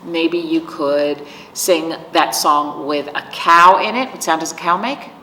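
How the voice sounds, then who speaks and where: A woman hosting an interactive storytime, talking directly and coaxingly to young children in an animated way. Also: deep voice, a little slow, distant mic. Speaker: library storytime host. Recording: echoey reverberant room, faint background noise.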